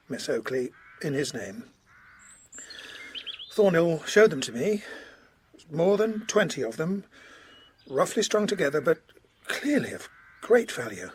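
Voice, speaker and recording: A man playing a courteous, earnest Georgian colonel in a period audio drama, recounting gravely in formal, slightly hesitant speech. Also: on the high side, a little hiss or hum. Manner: formal, hesitant, measured storytelling.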